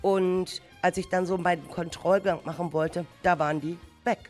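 A woman playing a worried, flustered primary school teacher in an amateur radio play, agitated and halting as she repeats her words. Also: lowish voice, fairly even intonation, darkish tone, soft background music, lightly acted. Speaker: teacher character.

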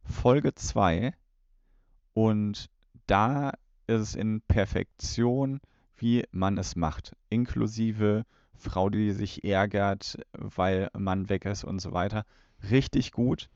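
Male co-host, unhurried and low, matter-of-fact, recorded dry. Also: darkish tone, no echo, dull audio. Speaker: podcast co-host. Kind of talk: casual commentary.

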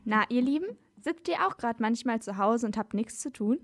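A woman's voice: fairly high pitch, expressive, moderate pace, music in the background. A female reporter on children's radio, speaking to young listeners in a scripted, informal way.

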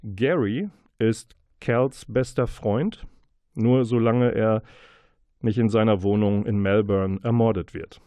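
A man hosting a radio book show, presenting reviews and interviews in a deliberate, wordy, playful announcer manner. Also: on the low side, dark tone, no room sound.

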